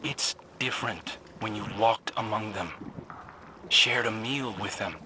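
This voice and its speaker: An actor playing a wry, compassionate young newcomer scout in a video game, conversational and dryly witty. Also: male voice, fairly low pitch, measured pace, noisy background, close to an everyday voice. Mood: earnest.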